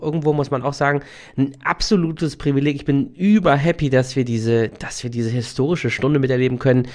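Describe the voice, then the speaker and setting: A young male lawyer speaks as a podcast guest. He talks eagerly and casually, with lots of fillers. His pitch is middle. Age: young adult. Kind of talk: casual interview talk.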